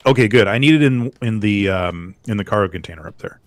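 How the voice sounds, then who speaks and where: A man's voice: fairly low pitch, fast talker, no echo. A man chatting casually while playing a game with a friend, joking and trailing off with filler words. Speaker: gaming channel host. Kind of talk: casual co-op gameplay chat.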